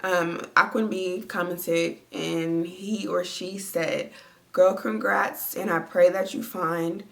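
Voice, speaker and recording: A young woman with a stuffy, congested voice and a slightly low pitch talks casually from her home. She rambles with lots of fillers while responding to viewer comments. The recording sounds close, like a phone or camera near her. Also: steady tone, takes it slowly, creaky, fairly bright tone. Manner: rambling, chatty, with many fillers. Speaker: vlogger. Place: at home, lying down.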